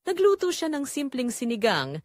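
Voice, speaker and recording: Dry-sounding woman narrating with lively pitch. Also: moderate pace, no echo. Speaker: narrator of audio drama. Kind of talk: first-person dramatic story narration.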